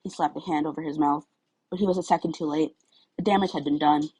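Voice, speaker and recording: A woman's voice: sits low, fairly even intonation, quick pace, mellow, breathy edge. A woman reading a scripted story aloud as an audiobook-style narrator. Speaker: audiobook-style fan fiction reader.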